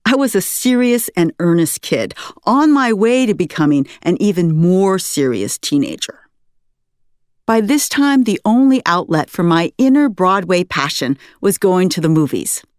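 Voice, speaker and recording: An American woman, an author reading her own memoir aloud in an articulate, expressive storytelling manner. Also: fairly low pitch, no echo, studio quality. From United States, West Coast. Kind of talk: scripted memoir narration.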